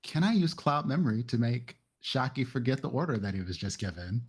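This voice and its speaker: A man with a medium-pitched voice takes part in a tabletop roleplaying game. He talks casually as a player working out his moves. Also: big pitch swings, brisk, breathy edge.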